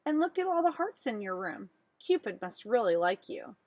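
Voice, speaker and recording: Woman as storybook kid brother, cheeky and eager, fairly high voice, contrary and teasing. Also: big pitch swings, normal speed, darkish tone. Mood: cheerful, contrary.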